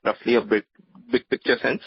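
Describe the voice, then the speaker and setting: A man with a husky, medium-pitched voice asks questions as an analyst on a conference call. The sound is slightly muffled. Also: steady tone, brisk, dry recording. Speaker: financial analyst asking questions. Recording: muffled conference phone line.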